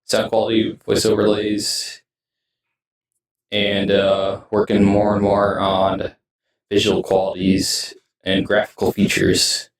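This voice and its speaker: Low-voiced man rambling, echoey and distant. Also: monotone. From United States. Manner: rambling, halting, often trailing off mid-sentence. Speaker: video game streamer. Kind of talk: casual rambling vlog talk. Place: indoors in his streaming room setup. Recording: roomy echo, distant mic, music behind.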